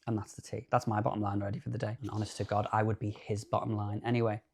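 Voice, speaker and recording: A man in his thirties co-hosting a podcast, chatting in an animated, campy way. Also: on the low side, fast, vocal fry, slight background noise. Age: 30s. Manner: animated, chatty, camp asides. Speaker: podcast co-host. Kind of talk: casual chat.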